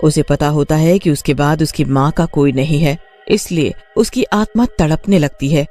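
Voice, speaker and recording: A woman's voice: low voice, normal speed, warm tone, faint music behind. A woman giving scripted narration of a cartoon folk tale.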